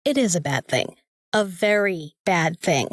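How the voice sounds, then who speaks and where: A woman's voice: lowish voice, animated, unhurried, dry recording, studio quality. A young woman acting in an audio drama as a bossy, sarcastic student who talks in an opinionated, emphatic way. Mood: scolding, sarcastic. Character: bossy, sarcastic young female student, vampire slayer's sidekick.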